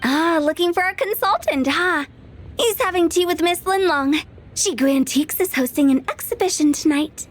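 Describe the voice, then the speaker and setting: A woman playing a playful, mischievous teenage girl with a teasing, animated manner in an anime video game. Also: very high-pitched, moderate pace, soft background music. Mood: breezy.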